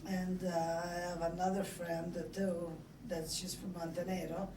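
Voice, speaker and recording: An older woman from Italy with an Italian accent, reminiscing casually and haltingly in an interview as she tells stories from her life. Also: on the low side, level tone. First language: Italian dialect. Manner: halting, chatty storytelling. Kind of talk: casual oral-history reminiscing. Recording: echoey room, distant mic, background noise.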